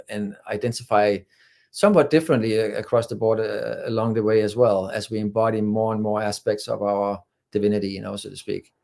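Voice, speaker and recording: Low-voiced man, earnest and rambling. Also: a little mellow. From Denmark. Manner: earnest, rambling, fills with "you know". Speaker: guest, spiritual channeler. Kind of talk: casual interview talk.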